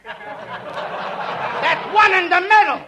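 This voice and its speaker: Wisecracking male comic, very high and animated, noisy 1940s radio recording. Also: drawn-out words. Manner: brash, wisecracking, boyish. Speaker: comedian.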